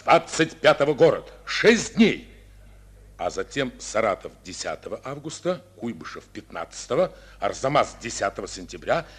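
Husky voice, lively intonation, male general, commanding, vintage radio drama. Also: medium pitch, moderate pace. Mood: scornful, analytical. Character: stern, sharp-witted male Soviet army commander.

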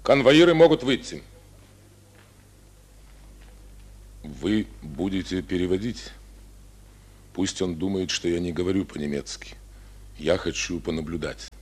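Brief: a seasoned radio-play general, husky and ironic, pitch rising and falling widely. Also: male voice, animated, moderate pace, a little mellow. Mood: probing. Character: stern, sharp-witted male Soviet army commander. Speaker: army commander, a general.

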